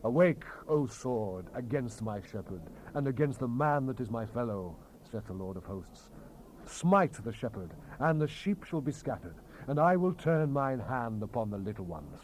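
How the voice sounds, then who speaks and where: A man's voice: medium pitch, sing-song, normal speed, a little mellow, rough recording. Man declaiming scripture with dramatic swells and drops. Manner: solemn, declamatory, prophetic oratory. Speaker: scripture narrator. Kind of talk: dramatized King James Bible reading. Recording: hissy 1970s cassette rip, ambient sound behind.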